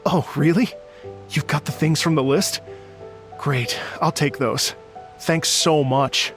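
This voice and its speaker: A polite, gentle young man from a video game. His voice sits fairly high, and music plays clearly behind him. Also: fairly even intonation, slow pace. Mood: grateful. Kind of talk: video game character acting.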